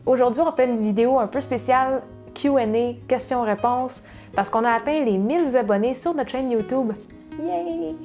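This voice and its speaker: A woman's voice: high-pitched, dark tone, faint music behind. A chatty woman in her thirties with a Québécois accent, co-hosting a casual cooking channel Q&A. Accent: Québécois French. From Quebec, Saguenay. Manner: chatty, fast, with many fillers and restarts. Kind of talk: casual Q&A chat.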